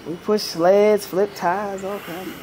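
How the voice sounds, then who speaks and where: A woman's voice: medium pitch, fairly even intonation, slow pace, warm tone, a little hiss or hum, rough recording. A middle-aged woman bantering casually and teasingly as a client on a treatment table. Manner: joking, teasing. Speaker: chiropractic client. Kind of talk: casual banter during a session. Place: large indoor treatment studio, lying on table.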